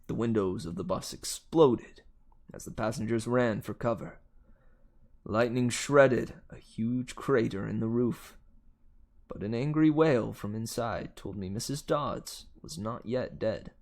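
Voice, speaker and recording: A man's voice: mid-range voice, a little slow, a little mellow, lightly acted. A man reading aloud as a boy hero narrating an adventure story with dramatic urgency. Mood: tense, dramatic. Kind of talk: dramatic audiobook-style reading.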